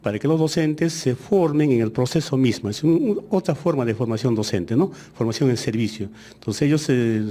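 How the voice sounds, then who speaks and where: Mellow-voiced man, Aymara native speaker. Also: medium pitch. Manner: measured explaining, frequent hesitations. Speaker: education ministry official. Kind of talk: interview answers.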